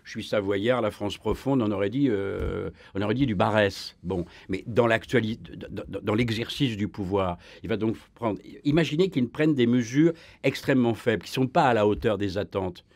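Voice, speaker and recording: A man's voice: moderate pace, gravelly, hardly any pauses, darkish tone, no echo. Emphatic male historian on radio. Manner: emphatic, rising pitch when pressing a point, many restarts. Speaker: historian guest. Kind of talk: radio debate. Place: radio studio.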